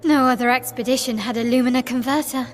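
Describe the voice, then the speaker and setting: An actress playing a curious young adventurer in a video game, speaking softly and with wonder. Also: female voice, high-pitched, fairly even intonation, fast, a little hiss or hum, natural voice. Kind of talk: dramatic game dialogue.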